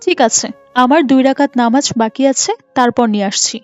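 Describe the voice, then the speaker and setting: A woman's voice: high voice, normal speed, dry recording, natural voice. A woman voicing a devout wife and mother in a moral cartoon, speaking earnestly and imploringly. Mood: dutiful. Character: devout pleading wife and mother praying to God. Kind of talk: dubbed moral cartoon acting. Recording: muffled audio with faint music behind.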